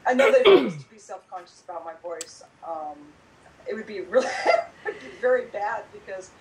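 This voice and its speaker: Female guest, tired, earnest, stumbles over repeated words; mid pitch, faint background noise. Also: huge pitch swings, fairly quick, rough recording. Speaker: guest, host's sister. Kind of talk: casual chat. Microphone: video call.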